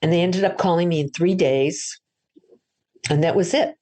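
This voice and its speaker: Older woman from Boston, low chatty voice. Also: moderate pace, dark tone. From Boston, USA. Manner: chatty, storytelling, frequent restarts. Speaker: author, interview guest. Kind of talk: casual interview answers.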